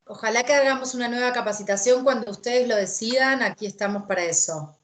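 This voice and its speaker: A woman's voice: fairly high pitch, a little fast. A woman co-presenting a training session on a video call, adding encouraging, agreeing remarks. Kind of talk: webinar conversation.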